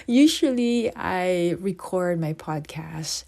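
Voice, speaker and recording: A middle-aged woman from the Philippines, husky this morning, hosting her podcast in a chatty way and asking her listeners questions. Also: fairly low pitch, takes it slowly. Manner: chatty, asks listeners questions, animated asides. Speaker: podcast host and psychotherapist. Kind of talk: podcast monologue.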